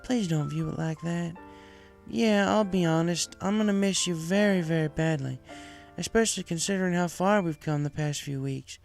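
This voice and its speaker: A man's voice: fairly high pitch, normal speed, gravelly, voice pushed up. A man voicing a tender, folksy female farm pony in a story reading, full of affectionate endearments, with music behind. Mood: tender, wistful.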